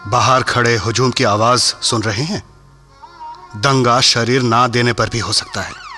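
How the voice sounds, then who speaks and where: An actor playing a firm, dignified Indian nationalist leader in a radio play, with an earnest, insistent manner. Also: male voice, mid-range voice, wildly swooping pitch, measured pace, music in the background.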